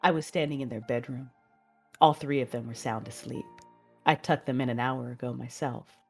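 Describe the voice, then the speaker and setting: Grieving female Jedi narrator played by a woman, very low register, measured and emotive, audio drama with faint score. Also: fairly even intonation, vocal fry, a little mellow, husky, faint music behind, heavily acted. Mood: wistful. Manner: emotive, measured storytelling with anguished dialogue. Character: grieving female Jedi, mother, narrating her own tragedy.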